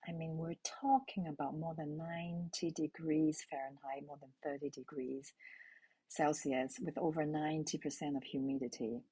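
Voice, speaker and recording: A middle-aged woman from Hong Kong, a native Cantonese speaker, telling a personal parenting story in a calm, intimate way and asking her listeners questions. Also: deep voice, normal speed, breathy edge, low-quality recording. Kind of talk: reflective spoken essay.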